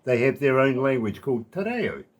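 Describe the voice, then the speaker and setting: A man's voice: medium pitch, sing-song, moderate pace, muffled recording. A man with a New Zealand accent, a radio DJ chatting casually and jokingly in a live interview.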